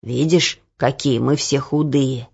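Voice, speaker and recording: A stooped old workman, as a woman voices him, low, slow and tired, with a gravelly, worn edge. He speaks in quiet, hushed tones, and the recording sounds dull and lo-fi. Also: fairly even intonation, heavily acted. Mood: weary. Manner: quiet, weary, hushed warnings. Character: stooped, wrinkled old male workshop laborer.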